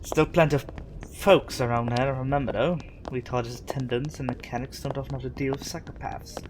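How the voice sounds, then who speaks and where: A man's voice: medium pitch, fairly even intonation, fairly quick, noisy background, close to an everyday voice. Spaceship dock attendant , male actor, ordinary middle register, dutiful but wary, plain delivery for an audio drama. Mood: guarded. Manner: plain-spoken, deferential, guarded.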